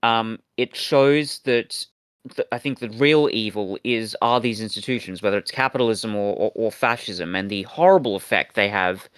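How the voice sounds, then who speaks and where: A man speaks as a podcast co-host in a very dry, close recording. His review talk is casual and reflective, with halting phrasing as he forms each thought. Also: on the low side, a bit bright, clear, resonant tone, no room sound.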